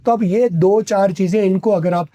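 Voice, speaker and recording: A man's voice: high voice, moderate pace, warm tone. A middle-aged man with a Pakistani accent, an English teacher explaining at length and giving advice in a podcast discussion. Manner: authoritative, advising, explains at length in long sentences.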